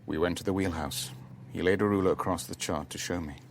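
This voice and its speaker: An actor playing a cool-headed ship owner who bluffs and narrates his own thriller in a measured, controlled way for a radio drama. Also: sits low, a little hiss or hum. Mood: firm. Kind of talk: radio drama acting and first-person narration.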